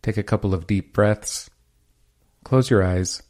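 Man, low voice, meditation guide, gentle measured phrasing, pauses between instructions.

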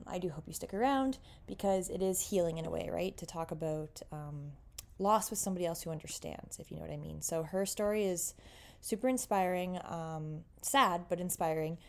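A woman's voice: low-pitched, a little mellow. A young woman with a Canadian accent hosting a casual podcast, chatty and giggly, with lots of fillers and restarts. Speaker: podcast host.

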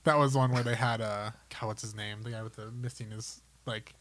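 A man's voice: lowish voice, fairly even intonation, brisk, a bit bright. A man co-hosting a podcast, talking about films and games in an enthusiastic, rambling, conversational way. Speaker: podcast co-host. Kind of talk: casual film and gaming chat.

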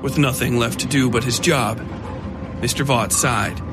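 A man's voice: medium pitch, measured pace. Male horror narrator over prominent music. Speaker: narrator of a horror fiction podcast. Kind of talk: scripted dramatic narration.

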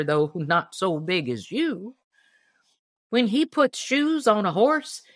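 A woman voicing a gruff, folksy old deacon in a storybook, blunt and grumbling. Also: on the low side, a bit bright, no echo, clean studio sound. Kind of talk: storybook character voice.

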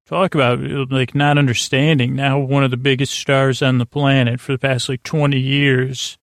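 A man with a creaky voice, rambling through a bedtime podcast monologue in a halting, stammering way, full of false starts. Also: medium pitch, level tone, no echo, clean studio sound. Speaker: podcast host.

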